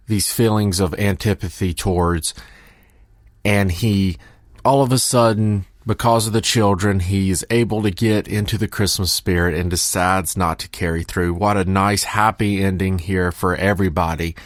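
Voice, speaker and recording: A man's voice: deep voice, no room sound, clean studio sound. A man hosting a podcast, giving casual commentary on old radio shows. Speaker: podcast host.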